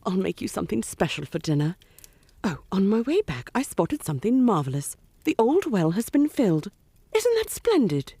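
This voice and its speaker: Woman as a lilting, animated witch mother. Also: mid-range voice, moderate pace, husky, dry recording. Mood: sweet, pleased. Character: witch mother, sweetly doting yet knowing matriarch. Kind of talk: audio-drama acting.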